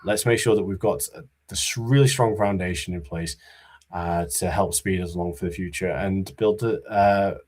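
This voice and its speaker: A man giving a conference talk about business readiness, in a monotone voice with many fillers and restarts. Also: low voice, normal speed. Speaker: conference speaker.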